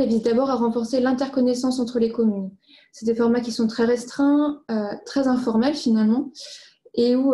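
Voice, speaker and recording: High, mellow-voiced woman presenting. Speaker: presenter of study findings. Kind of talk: formal slide presentation.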